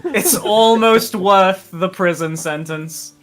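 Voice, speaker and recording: A player chatting casually and bantering through a tabletop roleplaying game, given to excited gasps and exclamations. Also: mid-range voice, takes it slowly, a crowd in the background. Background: music.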